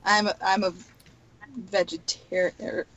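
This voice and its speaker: A woman's voice: medium pitch, flat delivery, fairly bright tone, slight background noise. Chatty woman player from Texas. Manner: chatty, quick to laugh. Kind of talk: casual tabletop play.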